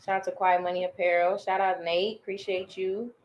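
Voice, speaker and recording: A young woman hosting a live podcast, talking loudly and casually, laughing and swearing a lot. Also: medium pitch, fairly quick, highs cut off. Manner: loud, laughing, swearing, animated. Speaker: podcast host.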